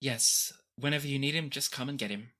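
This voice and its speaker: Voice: mid-range voice, brisk, sharp tone, lightly acted. A game master voicing a formal, obliging escort in a tabletop game, polite and matter-of-fact. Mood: courteous.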